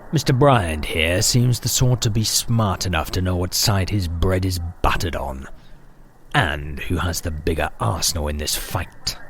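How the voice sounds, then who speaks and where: A man plays a calculating cult leader in an audio drama. His low voice stays measured and silky while every question carries a threat. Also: a little hiss or hum. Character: smooth, calculating male cult leader interrogating a stranger.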